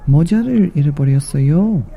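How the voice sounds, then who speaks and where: A man voicing a little lost female mouse in a bedtime story, polite and anxious, speaking in quick, repeated short phrases. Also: a little high, lively intonation, gravelly, mellow. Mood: frustrated, insistent.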